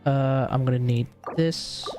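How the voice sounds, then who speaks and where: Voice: medium pitch, steady tone, a little slow. An Australian streamer giving casual gaming commentary, muttering to themselves and mildly swearing as they go. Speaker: livestream host, gamer. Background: video game music.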